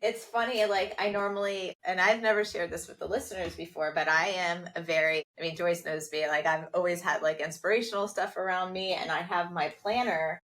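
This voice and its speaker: A woman with a somewhat low voice hosts a podcast conversation. She talks in an earnest, chatty way, full of casual fillers. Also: steady tone, brisk, few pauses, fairly bright tone. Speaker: podcast host. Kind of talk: casual interview chat.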